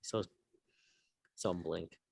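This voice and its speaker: A man's voice: very slow, a little mellow, dry recording. A man co-hosting a sports podcast in casual conversation. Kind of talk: casual chat.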